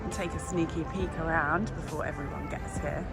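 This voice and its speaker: A woman's voice: low voice, steady tone, fairly quick, vocal fry, loud music behind the voice. A woman from England narrating her trip to a trade show, chatty and upbeat, a bit bunged up. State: stuffy, bunged up. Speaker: vlogger and nail educator. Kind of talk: casual vlog narration.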